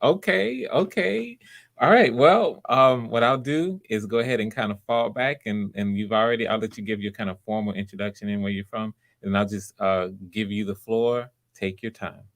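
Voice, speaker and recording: A man with a fairly low voice and a gravelly creak hosts auditions. He talks casually and encouragingly, often doubling up his phrases as he moves things along. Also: normal speed, a bit bright. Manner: encouraging, repeats himself. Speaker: audition host. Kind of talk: casual, conversational hosting.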